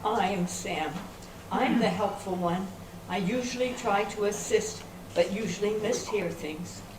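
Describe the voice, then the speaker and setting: A woman's voice: medium pitch, a little slow, close to an everyday voice. A woman playing a helpful, muddled, literal-minded friend who mishears, in amateur readers theater. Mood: puzzled, pleasant. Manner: innocent, puzzled. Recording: noisy echoey room from far off.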